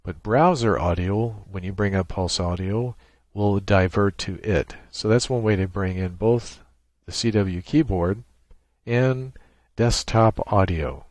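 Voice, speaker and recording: A man presenting an unscripted screen-share software tutorial in a halting, thinking-aloud way. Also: on the low side, dry recording.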